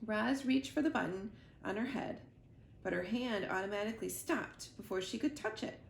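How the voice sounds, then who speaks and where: A woman storyteller giving an expressive read-aloud of a children's book. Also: mid-range voice, moderate pace, gravelly. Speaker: library storyteller. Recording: roomy echo, faint background noise.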